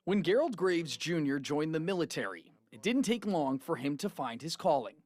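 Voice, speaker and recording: A man's voice: fairly high pitch, big pitch swings, moderate pace, a little mellow. An American man working as a TV news reporter, reading a scripted narration.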